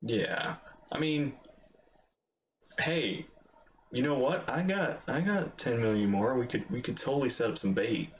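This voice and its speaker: A man's voice: medium pitch, unhurried, long pauses, slight background noise. A man giving casual, enthusiastic livestream commentary while reacting to a game. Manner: enthusiastic, chatty.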